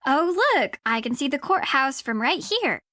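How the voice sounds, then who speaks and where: A woman's voice: high-pitched, very animated, measured pace, sharp tone, dry recording, clean studio sound, full character voice. A woman playing a blunt, sassy young sidekick in a video game, breezy, teasing and impatient. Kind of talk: scripted video game dialogue.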